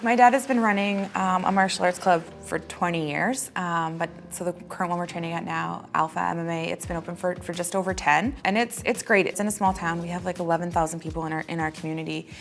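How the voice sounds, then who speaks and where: A young woman with a gravelly, somewhat low voice, chatting casually with plenty of "like"s. Faint music plays behind her. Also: fairly even intonation, fast talker, few pauses. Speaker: interviewee, athlete coached by her father. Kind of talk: documentary interview.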